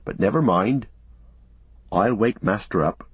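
A man's voice: fairly low pitch, animated, measured pace, husky, no echo, highs cut off, heavily acted. A man voicing a loyal, protective halfling servant with blunt, homely rustic phrasing in a storybook reading. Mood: weary, resigned.

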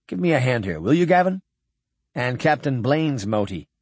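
A man plays a young space-navy midshipman, wry and easygoing. His chatty speech has very lively pitch and a slightly rough, husky grain. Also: normal speed, no echo. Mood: bemused, chatty.

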